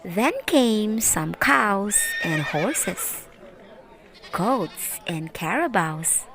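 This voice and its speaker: A woman reading a children's Bible story aloud in an expressive, deliberate way. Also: mid-range voice, crowd noise, poor audio. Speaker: storyteller, teacher.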